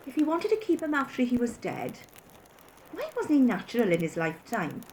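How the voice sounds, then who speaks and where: A woman's voice: a little high, huge pitch swings, brisk, darkish tone, slight background noise, low-quality recording. An actress playing a brazen, self-justifying thieving charwoman in an audio drama. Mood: brazen, scornful.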